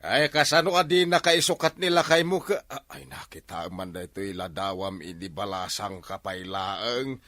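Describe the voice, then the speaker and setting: A man's voice: low-pitched, animated, moderate pace, few pauses, pushed and bright, no echo. An older man with an Ilocano accent, playing a father in a comedy drama, drowsy and rambling. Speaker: elderly father.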